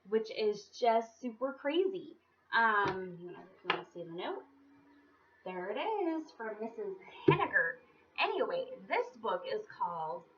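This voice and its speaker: A woman's voice: fairly high pitch, a bit bright. A woman hosting storytime, chatting casually and informally as she introduces a picture book. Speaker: storytime host. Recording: dull lo-fi sound, faint noise.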